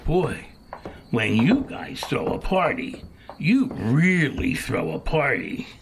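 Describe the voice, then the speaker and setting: A man playing an amused, easygoing party guest with a gravelly voice in an audio drama. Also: medium pitch, wildly swooping pitch, slow pace, heavily acted. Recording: clear noise behind, clicks.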